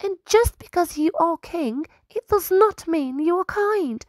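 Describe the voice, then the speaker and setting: A woman's voice: extremely high pitch, animated, unhurried, dry recording, studio quality, pitched above the performer's own voice. A woman voicing a small, bold, earnest squirrel royal scribe who proclaims and moralizes in a children's storybook. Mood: concerned, earnest. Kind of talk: children's storybook read-aloud.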